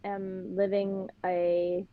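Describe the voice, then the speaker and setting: A young woman with a Pittsburgh accent talks as a podcast guest, telling stories in an animated, chatty way. Her voice sits at a middling pitch. The recording is a little dull and lo-fi. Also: drawn-out words, no echo. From Pittsburgh, Western Pennsylvania. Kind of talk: casual chat. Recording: slightly dull, lo-fi sound.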